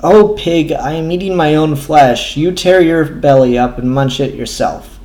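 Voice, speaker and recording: A man voicing a sly, hungry female fox in a measured reading of a storybook fable. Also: fairly high pitch, a little mellow, lightly acted. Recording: echoey room.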